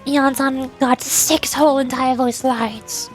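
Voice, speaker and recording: High-voiced woman streamer chatting over music. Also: fairly even intonation, takes it slowly. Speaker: streamer, virtual YouTuber. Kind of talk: casual game livestream chat.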